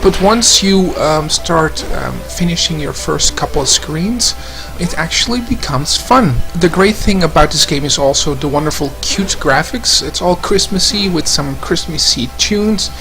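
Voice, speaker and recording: Casual male reviewer, slightly high voice, hesitant and loose. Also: animated, unhurried, creaky, bright, forceful tone, faint music behind. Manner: hesitant, lots of ums. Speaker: game reviewer.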